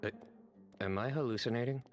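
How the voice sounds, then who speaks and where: A man voicing a composed, earnest academy official in a video game, with measured, formal phrasing and a dryly serious manner. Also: medium pitch, natural voice. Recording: game music under the voice. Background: game music.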